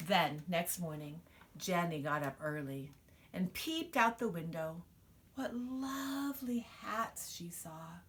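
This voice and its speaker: Slow, animated woman reading a storybook. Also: lowish voice, a little hiss or hum. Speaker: storybook reader. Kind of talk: children's picture book read-aloud.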